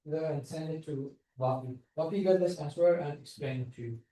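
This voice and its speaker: Man, mellow voice, roomy distance. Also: fairly high pitch. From Algeria. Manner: halting, confirms with repeated "exactly". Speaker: bootcamp instructor. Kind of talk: casual one-on-one explaining.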